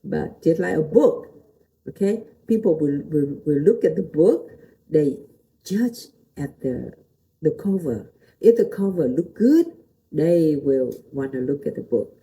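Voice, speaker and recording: Middle-aged female motivational speaker, native Vietnamese with matching accent, very dark soft-edged tone, unhurried. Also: low voice, lively intonation, rough recording. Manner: simple non-native English with short, repeated phrases and questions to the listener. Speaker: host, motivational teacher and pastor. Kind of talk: motivational talk to viewers.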